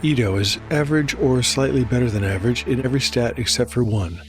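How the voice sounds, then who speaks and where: A man narrating a fantasy tabletop game as storyteller and game master, in a measured, scripted delivery. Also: mid-range voice. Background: music.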